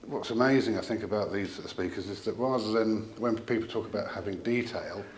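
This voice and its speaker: A man giving an informal technical product explanation as a company representative, in a hesitant, halting way with trailing asides. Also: on the low side, slight background noise.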